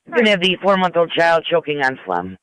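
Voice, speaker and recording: A man's voice: fairly high pitch, big pitch swings, fast talker, a bit bright, smooth. An American man working as a fire control dispatcher, making formal, procedural announcements over a two-way radio. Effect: two-way radio transmission.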